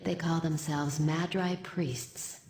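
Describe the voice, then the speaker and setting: An actress playing a haughty, elegant teacher heroine in a game cutscene, composed and condescending. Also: female voice, low voice, fairly even intonation, slow, darkish tone, a little hiss or hum. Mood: cool, haughty. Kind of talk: dramatic video game cutscene acting. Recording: echoey hall with long reverb.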